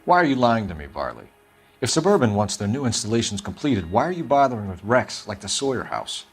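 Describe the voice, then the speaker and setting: A man's voice: on the low side, very animated, fairly quick, breathy edge, soft background music, full character voice. A man playing a stern police detective in a video game, questioning suspects in a blunt, accusatory manner. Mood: hard, accusing. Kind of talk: dramatic video game interrogation acting.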